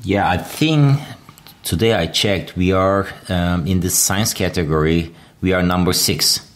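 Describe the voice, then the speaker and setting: A man chimes in briefly with hesitant remarks. He sounds a little distant from the microphone, with a low, plain voice. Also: unhurried. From Turkey. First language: Turkish. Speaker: guest, science podcaster.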